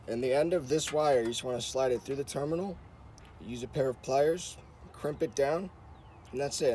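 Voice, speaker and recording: A man with a middling pitch talks through a practical job in a casual, informal way. He guides the listener step by step, often reusing the same instructional phrasing. There is faint noise and vehicle ambience around his voice. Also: mellow. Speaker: host of a how-to car channel. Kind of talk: casual hands-on tutorial talk.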